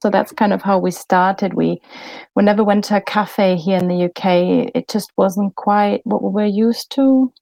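A woman, a podcast guest talking in a relaxed way, often pausing with fillers and restarting sentences. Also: medium pitch, vocal fry, no room sound. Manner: thoughtful, many fillers and restarts. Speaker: podcast guest, bakery business co-founder. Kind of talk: casual interview conversation.

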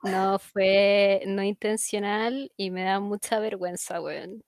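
A woman's voice: medium pitch, fairly even intonation, slow, no echo, studio quality. A woman in her twenties with a Chilean accent, chatting casually in a slangy, self-deprecating way as a podcast co-host. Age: 20s. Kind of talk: casual chat.

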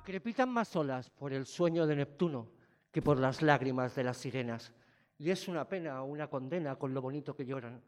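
A man from performing spoken-word poetry in a theatrical, expressive way. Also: mid-range voice, a little fast, soft background music. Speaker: slam poet.

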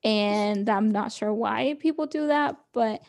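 A woman's voice: fairly high pitch, slow pace, creaky, a little mellow. A young woman co-hosting a podcast, chatting casually and laughing often as she quotes people. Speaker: podcast co-host. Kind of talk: casual chat.

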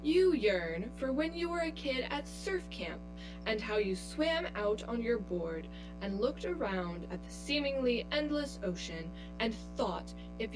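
A woman's voice: on the high side, rough recording. An actress playing a cold female inner double in an audio drama, precise, clinical and condescending. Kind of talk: scripted audio drama acting.

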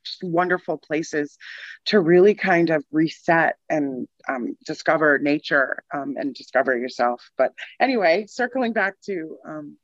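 A woman from the Boston area, chatting as a podcast guest, laughing often and filling pauses with ums. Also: deep voice, lively intonation, no echo, muffled recording. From Boston area, Massachusetts. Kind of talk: casual interview chat.

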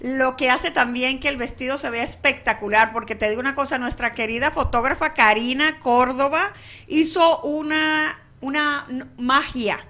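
A woman's voice: high voice, moderate pace, pushed and bright. A woman hosting a radio chat show, chatty and effusive, laughing easily as she talks. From Venezuela. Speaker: radio host.

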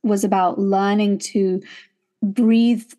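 A woman's voice: medium pitch, level tone, takes it slowly. Woman podcast host, thoughtful and affirming. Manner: warm affirming listener, thoughtful, "like" fillers. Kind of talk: casual podcast conversation.

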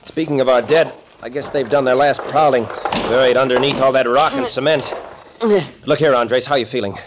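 A male actor plays a seasoned captain turned small-town constable in a 1940s radio thriller. He talks in a folksy, wry, plain way at a middling pitch, and stays unflappable. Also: fairly even intonation, a little fast, noisy background, lightly acted. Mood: calm, reassuring. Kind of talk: 1940s radio drama acting.

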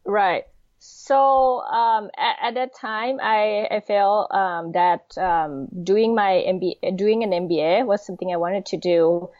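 A woman in her thirties from Thailand with a Thai accent, answering interview questions hesitantly with many pauses and fillers. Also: medium pitch, darkish tone, no room sound. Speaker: podcast guest. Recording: dull lo-fi sound.

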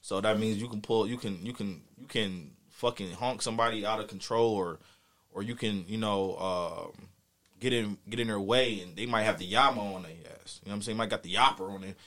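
A man's voice: lowish voice, fairly even intonation, a little fast, a bit bright. A man with a US East Coast accent telling a story in casual chat, slangy, profane and boastful.

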